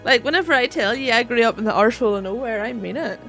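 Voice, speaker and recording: A woman's voice: on the high side, animated, racing, pushed and bright, soft background music. A woman with a rural Northern Irish accent chatting on a gaming livestream, swearing freely and laughing often as she reads chat. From Northern Ireland. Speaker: streamer.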